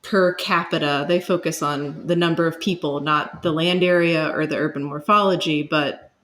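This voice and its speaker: A woman's voice: deep voice, steady tone, moderate pace, a bit bright. A woman, speaking as an expert guest and explaining ideas conversationally while searching for words.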